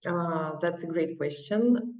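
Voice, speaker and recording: A woman's voice: on the low side, takes it slowly, a little mellow, dull audio. Russian-accented woman, distant echoey sound. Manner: earnest, encouraging, with restarts. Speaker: guest. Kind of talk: casual interview talk.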